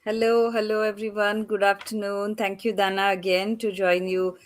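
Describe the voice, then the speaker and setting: A woman's voice: mid-range voice, level tone, moderate pace. A woman from Mumbai with an Indian accent, introducing herself as a panel guest in a polite manner. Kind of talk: casual self-introduction.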